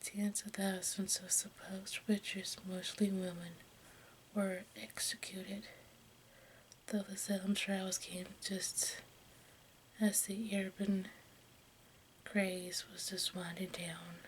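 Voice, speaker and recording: Whispering woman, slow and halting. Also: mid-range voice, flat delivery, slight background noise, rough recording. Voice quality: breathy unvoiced whisper. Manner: halting, stumbles over words while reading. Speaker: ASMR video host. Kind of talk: whispered ASMR, informal reading of history.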